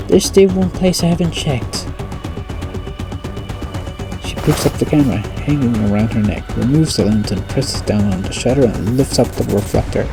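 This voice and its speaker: A man's voice: fairly low pitch, vocal fry. A man playing a game and casually reading its story aloud, with slips and restarts. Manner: relaxed, reads narration with slips and restarts. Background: game music.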